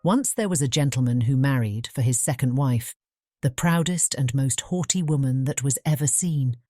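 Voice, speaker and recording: A woman with a British English accent narrating a fairy tale audiobook in a measured storytelling manner. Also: very deep voice, warm tone, dry recording, soft background music.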